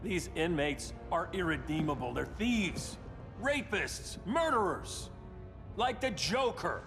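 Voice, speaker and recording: In a reverberant hall with music behind, a powerful male superhero gone ruthless. The voice is fairly high for a man and swings widely in pitch. He is commanding, bitter and righteous, as in a dramatic video game cutscene. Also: sing-song, slow, full character voice. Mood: bitter conviction. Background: cinematic music.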